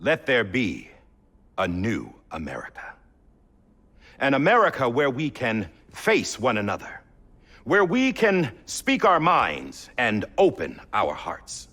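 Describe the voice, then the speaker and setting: An actor playing a solemn middle-aged male president delivering a measured, oratorical speech in a video game cutscene. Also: mid-range voice, huge pitch swings, sharp tone, heavily acted.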